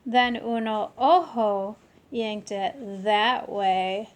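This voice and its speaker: Female storybook reader, fairly high voice, very unhurried, expressive children's read-aloud delivery. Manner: animated storytelling with deliberate phrasing.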